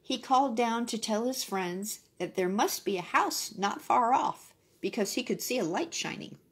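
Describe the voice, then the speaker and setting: A woman's voice: on the high side, animated, normal speed, breathy edge. A woman reading a children's story expressively, adding brief casual asides.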